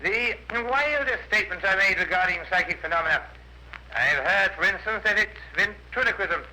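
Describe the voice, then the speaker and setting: A man's voice: high-pitched, normal speed, pushed and bright. An actor playing a cocky, provocative male journalist giving dry, combative evidence in a 1950s radio courtroom drama. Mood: defiant. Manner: dry, combative, deferential titles, hesitant fillers. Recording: noisy vintage 1950s radio recording.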